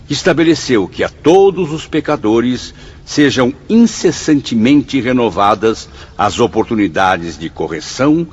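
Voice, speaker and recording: A man's voice: medium pitch, husky. A Brazilian actor playing a devout, solemn man in a radio drama, exhorting in an emphatic preacherly way. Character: devout male spirit exhorting his brothers, solemn. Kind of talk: radio drama acting, declaimed recitation. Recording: dull lo-fi audio.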